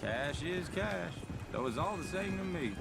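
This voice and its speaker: Chatty folksy hired gun. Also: male voice, fairly high pitch, animated, measured pace, vocal fry, very noisy. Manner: talkative and reassuring, folksy. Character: chatty hired gun, family man, confident. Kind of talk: video game cutscene acting.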